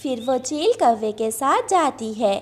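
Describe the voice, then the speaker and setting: A woman's voice: high voice, big pitch swings, measured pace. A woman reading scripted narration for a children's cartoon story.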